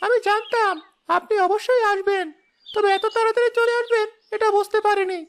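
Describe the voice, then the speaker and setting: A woman's voice: very high voice, steady tone, brisk. A woman voicing an eager, respectful young village shop seller in a cartoon. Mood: eager, deferential. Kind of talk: high-pitched cartoon dubbing.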